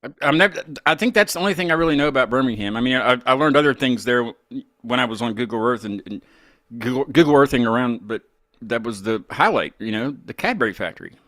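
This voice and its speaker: A man's voice: mid-range voice, animated, fast talker, fairly bright tone, no echo, clean studio sound. An American man hosting a live interview show, chatting casually in an informal, rambling way. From West Virginia, United States.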